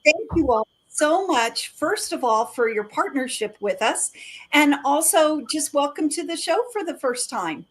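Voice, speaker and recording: A woman's voice: high voice, big pitch swings, measured pace, rough recording. A middle-aged woman hosting a community chamber of commerce livestream, upbeat and promotional as she announces events and welcomes guests. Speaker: chamber of commerce director hosting a live show.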